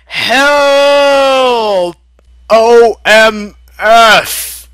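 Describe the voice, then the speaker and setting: Man playing a loud, high-strung mechanic. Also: very slow, clear, resonant tone, no room sound, full character voice. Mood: panicked. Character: excitable, bewildered male mechanic adventurer.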